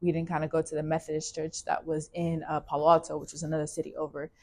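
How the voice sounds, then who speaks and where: Young woman, low and level, laid-back. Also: fast talker. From California, USA. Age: young adult. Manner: relaxed, with many fillers like "like" and "you know". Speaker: interviewee. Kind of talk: casual interview, personal story.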